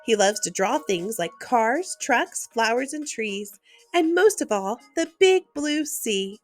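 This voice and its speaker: A woman gently reading a picture book aloud at bedtime as the host of a read-aloud channel, talking to small children in an expressive way. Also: on the high side, slow pace, faint music behind.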